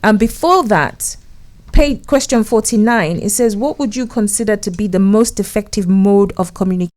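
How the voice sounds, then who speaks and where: Woman, relationship consultant guest; earnest storyteller, middle-pitched. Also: moderate pace, a little mellow. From England. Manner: earnest, tells stories, acts out her husband's lines. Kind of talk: casual conversational interview.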